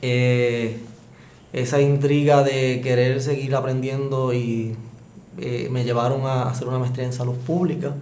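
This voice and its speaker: Casting: Puerto Rican man, formal guest, mid-pitched, echoey room. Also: little pitch movement, a little slow, darkish tone. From Puerto Rico. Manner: formal, fills with "¿verdad?". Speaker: epidemiologist guest. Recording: echoey room, faint noise.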